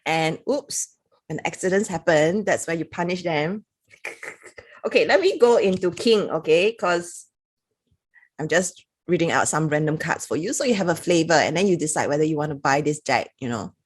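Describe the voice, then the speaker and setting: A woman's voice: deep voice, lively intonation, moderate pace, husky. A woman with a Singaporean accent giving a chatty, casual review to camera, reading passages aloud with little laughs. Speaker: product reviewer.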